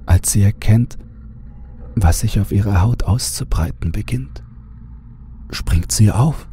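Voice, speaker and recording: A man narrating a horror audiobook in a deliberate, theatrical way, at times dropping to a hushed tone. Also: lowish voice, a little hiss or hum. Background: music under the voice.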